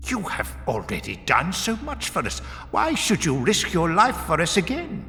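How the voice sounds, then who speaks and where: A man voicing a grave, grateful alien statesman in an audiobook, with a gravelly, strained voice and earnest, solemn manner. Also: sits high, huge pitch swings, normal speed, bright, forceful tone, in a large hall, a little hiss or hum, pitched above the performer's own voice, heavily acted. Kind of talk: dramatised audiobook reading.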